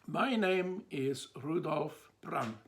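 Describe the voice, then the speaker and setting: An older man from Switzerland with a Swiss accent, giving a measured talk to camera and pausing between phrases. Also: a little high, animated. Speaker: theologian presenting a talk. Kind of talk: slow, deliberate lecture to camera.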